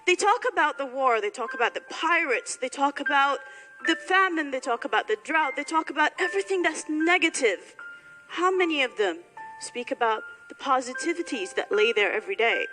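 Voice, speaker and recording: A high, bright-voiced woman from Somalia delivers a speech to a graduating audience, driving her points home with repeated phrases and pointed questions. Her voice rings out in a large hall with a long reverb, and faint music sits underneath. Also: animated, normal speed. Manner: emphatic, rhetorical repetition. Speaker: speaker addressing graduates. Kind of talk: public speech.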